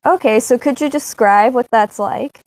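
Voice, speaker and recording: A woman's voice: fairly high pitch, moderate pace, a little mellow, low-quality recording. A young adult woman hosting a casual interview, asking friendly, upbeat questions. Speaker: host.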